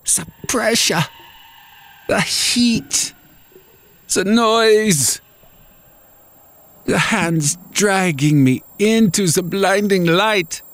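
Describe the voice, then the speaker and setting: A man's voice: high voice, very slow, pauses often, fairly bright tone. A man narrating a first-person horror story in a dramatic, scripted storytelling style. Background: music.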